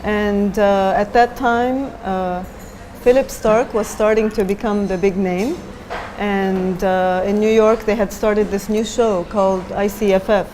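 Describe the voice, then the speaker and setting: Mellow-voiced woman recalling, hesitant. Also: medium pitch, fairly even intonation, unhurried, a little hiss or hum. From Japan. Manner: thoughtful, hesitant, with many fillers. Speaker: designer, guest. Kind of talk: onstage interview.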